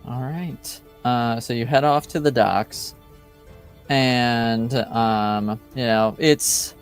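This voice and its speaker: Guy running a tabletop rpg, smooth clear voice, casual, feels his way through descriptions haltingly. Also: medium pitch, fairly even intonation, faint music behind. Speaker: game master. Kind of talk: improvised tabletop game narration.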